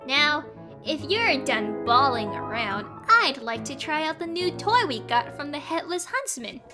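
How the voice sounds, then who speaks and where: A woman's voice: high-pitched, big pitch swings, over music. A woman voicing a cranky, violent, sarcastic young girl adventurer in a theatrical comic dub, drawing out her complaints. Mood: cocky, menacing. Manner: sardonic, theatrical, drawn-out complaining. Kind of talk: comic fan-dub voice acting.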